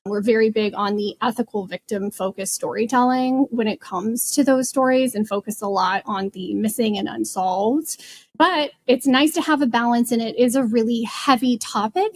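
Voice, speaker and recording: A woman's voice: on the high side, lively intonation, moderate pace. A woman in her late thirties, a guest podcaster explaining things in a casual, conversational way. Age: late 30s.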